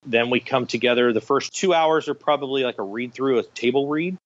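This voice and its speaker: A man with a mid-pitched voice talks as an interview guest, a director explaining his craft. He goes through things step by step in a conversational way, giving examples as he goes. The sound is slightly dull and lo-fi. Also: brisk.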